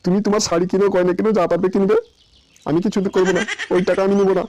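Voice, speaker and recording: A man voicing a scheming village husband in a comic cartoon, coaxing and flattering in hurried, repeated bursts. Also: high voice, a little hiss or hum, close to an everyday voice. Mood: placating. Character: wheedling scheming village husband who flatters his wife. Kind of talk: comic Bengali cartoon dialogue.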